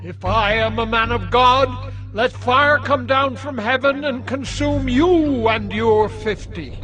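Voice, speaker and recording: Casting: solemn prophet for audio scripture drama, high voice, very drawn-out declamation, music beneath. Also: male voice, bright, forceful tone, exaggerated voice. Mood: stern, commanding. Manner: slow, declamatory, weighty pronouncements. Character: stern, solemn prophet calling down judgment.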